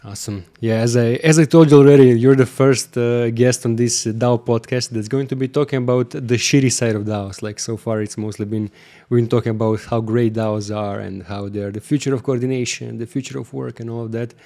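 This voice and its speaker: A man's voice: medium pitch, steady tone, a little fast, creaky, dark tone. A man hosting a podcast, asking his guest questions in a loose, informal way with plenty of fillers. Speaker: podcast host. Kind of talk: casual podcast interview.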